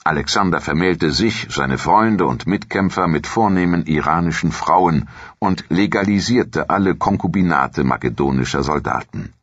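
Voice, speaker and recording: A male narrator speaks in an extremely deep, husky register. He reads scripted documentary audiobook text. The recording is dry and somewhat muffled. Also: normal speed, dry recording.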